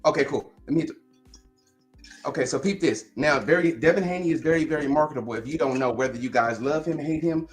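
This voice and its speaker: A man's voice: mid-range voice, a bit bright. Insistent man from Long Beach debating. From Long Beach, California. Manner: insistent, argues in quick bursts. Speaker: guest caller. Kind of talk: heated live-stream debate.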